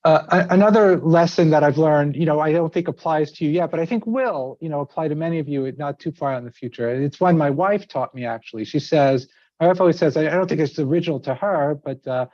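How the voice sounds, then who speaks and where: A man's voice: fairly high pitch, quick pace, darkish tone, dry recording. Middle-aged guy from near new york, seminar talk, keeps restarting with "uh, you know", dry close sound. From outside New York City. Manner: conversational, many fillers and restarts. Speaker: seminar speaker. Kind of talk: informal talk with anecdotes. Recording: dry, slightly dull sound.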